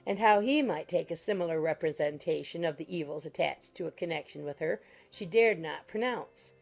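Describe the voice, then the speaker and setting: Creaky-voiced woman narrating a novel. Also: fairly low pitch. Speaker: audiobook narrator. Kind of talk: scripted literary narration. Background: soft music.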